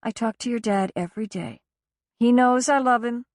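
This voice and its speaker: A woman voicing a worn-out mother who speaks softly but firmly to her grown son. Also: fairly high pitch, moderate pace, no room sound, clean studio sound, pitched above the performer's own voice, close to an everyday voice. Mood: tender. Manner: soft, firm, tired.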